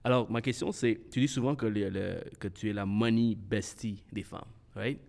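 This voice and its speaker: A man's voice: on the low side, fairly even intonation, vocal fry, a little mellow. Hesitant man hosting a podcast. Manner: halting and hesitant, many restarts, laughs often, mixes in English. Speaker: podcast host. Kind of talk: casual podcast conversation.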